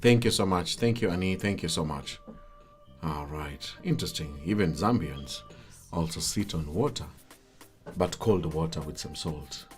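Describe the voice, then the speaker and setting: A man's voice: deep voice, animated, moderate pace, faint music behind. A man hosting a live talk show and talking with guests.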